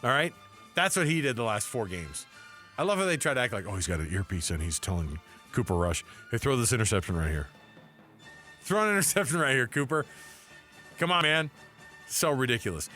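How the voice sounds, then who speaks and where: A man's voice: mid-range voice, very animated, brisk, pauses often, pushed and bright, faint music behind. An American man co-hosting a sports talk show, bantering casually and reacting with sarcastic disbelief.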